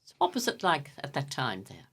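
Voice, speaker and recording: Woman radio host, deep voice with a lively, animated lilt, polished interviewer. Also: normal speed, husky.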